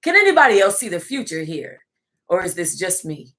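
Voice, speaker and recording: An American woman hosting an online book club, reading aloud casually in an animated, salty-tongued way and breaking off to react. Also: on the low side, fairly quick. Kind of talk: casual livestream read-aloud with running reactions.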